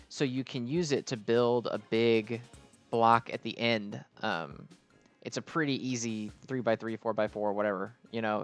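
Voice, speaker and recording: A man hosting a gaming video with casual, unscripted commentary, rambling and interrupting himself as he explains. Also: medium pitch.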